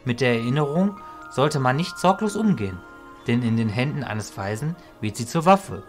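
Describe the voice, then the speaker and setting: A man playing a calm, wise illusionist mentor, measured and didactic, in a fantasy audio drama. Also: mid-range voice, faint music behind, natural voice. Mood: wise, instructive.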